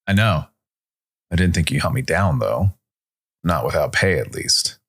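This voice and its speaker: A man's voice: very deep voice, lively intonation, measured pace, a bit bright, breathy edge, heavily acted. A man voicing a jaded veteran bounty hunter in a science fiction story, terse, resigned and matter-of-fact. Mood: weary, resigned. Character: jaded, unrepentant male smoker, veteran space bounty hunter.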